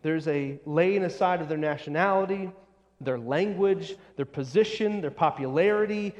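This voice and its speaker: A man preaching an expository sermon, speaking emphatically and deliberately and repeating short phrases for weight. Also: a little high, a little mellow, highs cut off. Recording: long echoing hall reverb.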